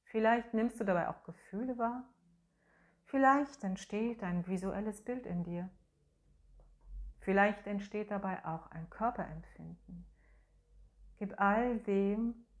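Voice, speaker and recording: A woman guides a breathing and voice meditation at a very slow, unhurried pace. Her voice sits in a middle range, soft and soothing, with long pauses between instructions. Now and then she hums a sustained tone to show the exercise. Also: medium pitch. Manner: hums and sings sustained tones as demonstration.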